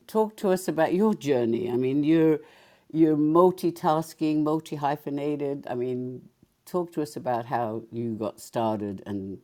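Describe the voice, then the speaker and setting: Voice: mid-range voice, warm tone. An interview host talking in a friendly, chatty way, introducing a guest and asking questions. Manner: friendly, chatty, prone to laughing along. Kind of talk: hosting a casual interview.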